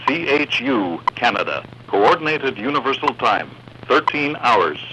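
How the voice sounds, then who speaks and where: A man giving a formal, measured recorded time announcement as a radio announcer, heard over a shortwave transmission. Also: medium pitch, big pitch swings. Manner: measured, formal, clipped phrases. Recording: noisy shortwave radio reception, clicks.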